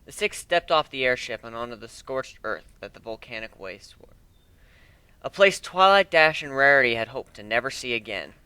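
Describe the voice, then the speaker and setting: A man's voice: mid-range voice, lively intonation, moderate pace, sharp tone, clear, resonant tone, no echo. A man narrating fan fiction as a dramatic reading of a scripted story.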